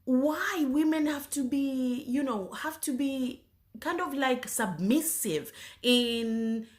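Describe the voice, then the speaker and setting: A woman from Zanzibar giving a personal testimony about her faith, talking haltingly with many fillers and restarts, often clearing her throat and coughing. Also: high voice. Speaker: speaker sharing her faith story.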